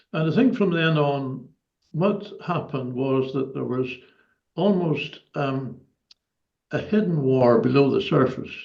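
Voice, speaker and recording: An elderly man with a Northern Irish accent recounting his personal history as a guest, in a measured, articulate way. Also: mid-range voice, gravelly, muffled recording. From Northern Ireland. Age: 70s or older. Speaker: interview guest, former army officer.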